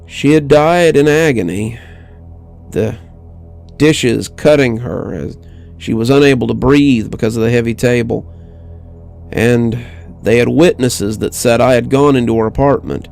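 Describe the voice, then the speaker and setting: A man reading a scripted horror story in a measured, dramatic manner as the narrator. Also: mid-range voice, vocal fry, a little mellow. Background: music bed.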